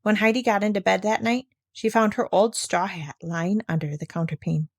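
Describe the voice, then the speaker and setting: A woman's voice: mid-range voice, fairly even intonation, normal speed, dry recording, studio quality. A woman narrating a children's story, reading aloud from the written text. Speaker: audiobook narrator.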